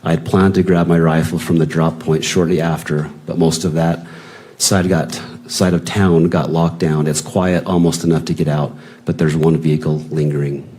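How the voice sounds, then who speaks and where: Male witness reading a message exchange into the record, very low and mellow, no acting. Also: level tone, moderate pace. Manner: plain, unacted reading with attributions. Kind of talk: reading written messages aloud, hearing testimony.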